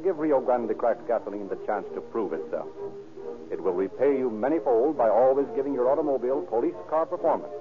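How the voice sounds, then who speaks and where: Formal 1930s radio announcer, tinny old recording. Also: male voice, mid-range voice. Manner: formal, declamatory, carefully enunciated. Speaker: announcer and narrator.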